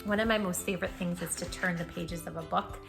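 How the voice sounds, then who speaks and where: A woman's voice: lowish voice, steady tone, fast, gravelly, music in the background. A woman hosting a read-aloud for young children, speaking expressively and emphatically. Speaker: children's storytime host. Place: indoors, room at home.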